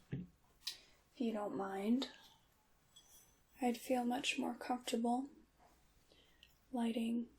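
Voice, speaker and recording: A woman voicing a polite, secretive witch shopkeeper in a hushed, unhurried ASMR roleplay, moving between whispers and soft formal speech. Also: on the high side, husky, full character voice. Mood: calm, measured.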